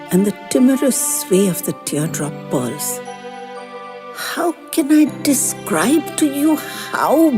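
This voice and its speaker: A woman narrating a first-person story in a gentle, expressive reading with lingering phrases. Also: medium pitch, in a large hall.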